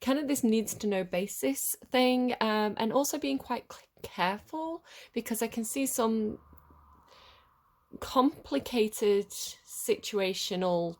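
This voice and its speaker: A woman's voice: fairly high pitch, animated, slow pace, darkish tone. A woman with a head cold, giving a rambling, hesitant tarot reading. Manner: rambling and hesitant, many ums and restarts. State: congested with a cold, sniffly. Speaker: tarot reader. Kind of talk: casual collective tarot reading to camera.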